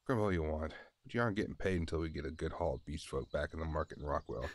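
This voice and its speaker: Deep low voice, man playing gruff male slaver, contemptuous, impatient, audio roleplay. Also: racing, no room sound. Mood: mocking. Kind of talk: amateur audio roleplay drama.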